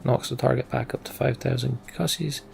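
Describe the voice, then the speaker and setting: A man's voice: medium pitch, fairly even intonation, normal speed, vocal fry, over music. A man giving casual, informal gameplay commentary, half talking to himself with sweary, sardonic asides. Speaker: let's play host.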